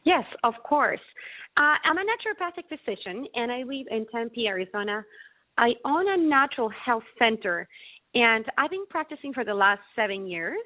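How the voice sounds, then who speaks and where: A woman's voice: a little high, sing-song, moderate pace, no echo. An earnest woman, the practitioner guest you would hear on a wellness call-in, coming through a narrow, phone-like line with no room around her. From Ecuador. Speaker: interview guest, naturopathic physician. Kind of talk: conversational health explanation.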